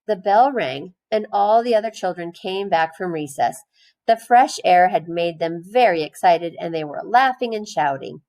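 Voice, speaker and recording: A woman reading a children's storybook aloud in an expressive way, phrasing it clearly for young listeners. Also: fairly low pitch, moderate pace, poor audio.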